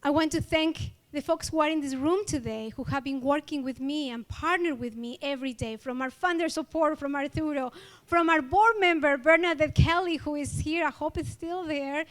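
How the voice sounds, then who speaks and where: A woman with an Ecuadorian Spanish accent and a high voice gives an award acceptance speech. She sounds earnest and grateful, and she pauses and uses fillers as she speaks. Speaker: award honoree.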